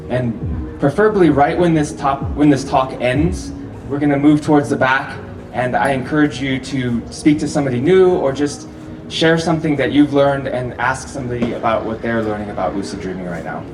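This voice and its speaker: A man gives a relaxed, chatty talk to a live festival crowd and often laughs between points. His voice sits at an everyday middle pitch and comes from a fair way off the microphone. The room is echoey and carries a steady hum of noise. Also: normal speed. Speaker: festival speaker. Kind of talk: live public talk to an audience. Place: festival venue with a live audience. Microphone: handheld or stand microphone, often held far off. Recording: roomy echo, distant mic, audible hall noise.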